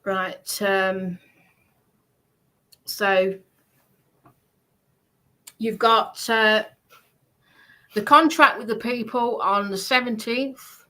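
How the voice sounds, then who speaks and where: A British woman presenting informally, reading a list aloud in a halting, explanatory way and adding casual asides. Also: mid-range voice, fairly even intonation, sharp tone, rough recording. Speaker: presenter going through party policies.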